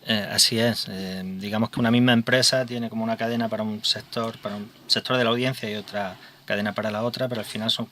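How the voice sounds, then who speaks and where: Bright-voiced radio host. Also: male voice, fairly low pitch, steady tone, a little hiss or hum. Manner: conversational, measured questions. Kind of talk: radio interview. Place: local radio studio.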